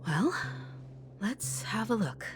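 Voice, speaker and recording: An actress playing a composed, earnest and idealistic woman in a video game, speaking in a measured, sincere way. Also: mid-range voice, very animated, noisy background. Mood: thoughtful. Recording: background music under voice.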